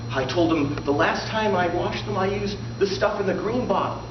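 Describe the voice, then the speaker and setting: A man telling a comic tall tale to a live audience in a theatrical manner, pausing deliberately for the punchlines. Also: high-pitched. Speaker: storyteller in a tall-tale contest. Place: indoor room before a live audience, recorded from afar. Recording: roomy, distant, muffled live recording with loud noise.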